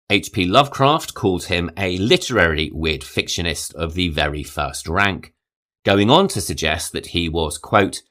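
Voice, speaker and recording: Deep-voiced guy reading his podcast script, swoopy intonation, steady and measured. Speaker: podcast host.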